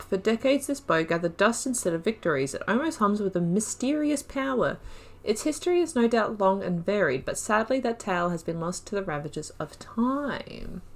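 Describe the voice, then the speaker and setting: A woman hosting a game playthrough, chatting informally with wry asides and reading on-screen text aloud. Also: lowish voice. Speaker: gaming video host.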